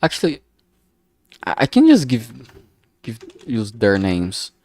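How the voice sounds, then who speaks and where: A man's voice: on the low side, huge pitch swings, takes it slowly, darkish tone. A man from Brazil with a thick Brazilian Portuguese accent, rambling hesitantly with many fillers as he thinks aloud on a stream. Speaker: game developer streamer.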